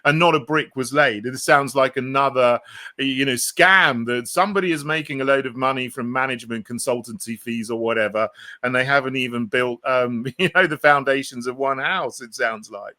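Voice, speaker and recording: A man's voice: mid-range voice, normal speed, pushed and bright, dry recording, clean studio sound. A man in casual, opinionated chat, speaking informally and with some incredulity. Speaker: interviewer, former politician.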